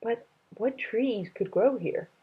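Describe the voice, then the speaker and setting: A woman reading a children's story aloud in an expressive, unhurried way, as if to young listeners. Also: on the high side, husky, dull audio. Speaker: read-aloud reader.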